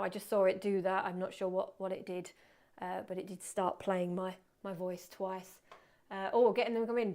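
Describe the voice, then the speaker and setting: A woman teaching photography in a live webinar, chatty and self-deprecating, muttering quietly to herself as she works at her computer. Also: mid-range voice. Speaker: photography instructor. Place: indoors at a computer.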